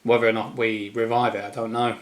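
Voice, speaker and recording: A man with an English East Midlands accent giving an informal, unscripted opinion monologue in a rambling, halting manner. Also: mid-range voice, little pitch movement. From East Midlands, England. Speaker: solo commentator.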